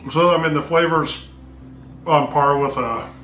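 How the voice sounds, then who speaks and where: A man with a head cold, nose stuffed and voice husky, giving a casual verdict on a bake. He talks in starts and stops while he looks for words. The kitchen lends a roomy echo. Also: a little high, fairly even intonation, a little slow, fairly bright tone, slight background noise. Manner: hesitant, searching for words. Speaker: taste tester, host's husband. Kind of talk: casual chat. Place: home kitchen.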